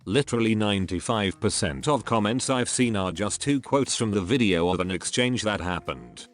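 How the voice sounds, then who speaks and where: A man narrating a series of online posts in a steady read-aloud style. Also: on the low side, moderate pace. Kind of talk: scripted narration.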